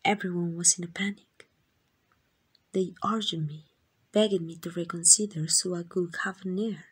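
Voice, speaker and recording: A woman with a Mexican accent playing a tender, devoted queen in a romantic ASMR roleplay, speaking in gentle, intimate, breathy whispers. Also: lowish voice, fairly even intonation, unhurried. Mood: tender, wistful. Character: tender, devoted queen in secret love with a commoner.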